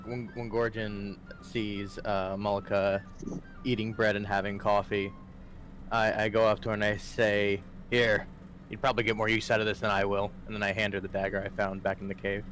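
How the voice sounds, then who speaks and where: A man's voice: fairly low pitch, flat delivery, normal speed, fairly bright tone, slight background noise. A man playing a tabletop game, describing his character's actions matter-of-factly. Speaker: player. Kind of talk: casual chat during a tabletop game.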